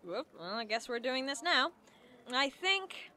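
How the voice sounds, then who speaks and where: Dry, bright-voiced park ranger. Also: female voice, sits high, very animated, measured pace, exaggerated voice. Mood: skeptical, wry. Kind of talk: audio drama acting.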